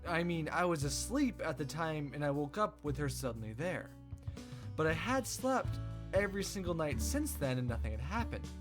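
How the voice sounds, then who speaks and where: Expressive, higher-voiced man reading a visual novel. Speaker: let's play host. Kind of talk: casual visual novel playthrough, reading aloud. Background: game music.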